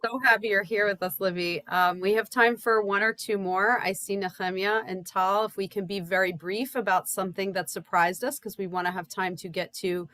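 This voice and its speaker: A woman moderating a panel, brisk and friendly as she keeps an eye on the time. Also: medium pitch, steady tone, pushed and bright.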